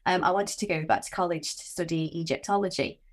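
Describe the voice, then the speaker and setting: A woman hosts a podcast, warmly affirming her guest with short responses. When she talks at length she muses aloud, circling her ideas with restarts before she lands on a question. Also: fairly low pitch, fairly even intonation, fast. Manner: encouraging, affirming, musing aloud. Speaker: podcast host. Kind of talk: conversational podcast interview.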